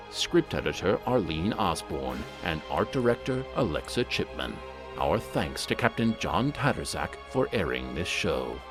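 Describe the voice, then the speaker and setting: A man reading scripted closing credits as a narrator. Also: sits low. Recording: music behind the voice. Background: clear music.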